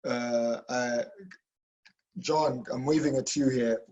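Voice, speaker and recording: A man's voice: mid-range voice, steady tone, slow, darkish tone, muffled recording. A man from Kenya, a professor talking casually in an online info session, with restarts and a habit of asking "okay?". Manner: chatty, frequent "okay?" and restarts.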